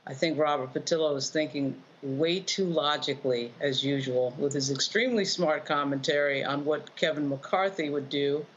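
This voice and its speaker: Low-voiced woman, dull noisy audio. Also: normal speed, hardly any pauses. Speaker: panelist, political commentator. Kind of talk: unscripted political commentary. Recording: dull lo-fi audio with background noise.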